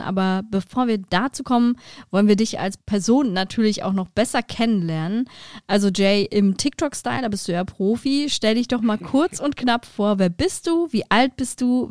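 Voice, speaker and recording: A woman's voice: medium pitch, a little mellow, dry recording, studio quality. A woman hosting a podcast, welcoming her guest and asking questions in an upbeat way. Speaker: podcast host.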